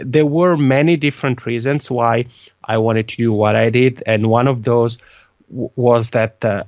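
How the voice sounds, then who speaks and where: A man's voice: medium pitch, no echo. Swiss italian guy talking on a podcast, keeps restarting his sentences, dull muffled sound, dry. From Italian-speaking Switzerland. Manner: lively, repeats words, restarts phrases often. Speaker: podcasting consultant guest. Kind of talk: conversational interview.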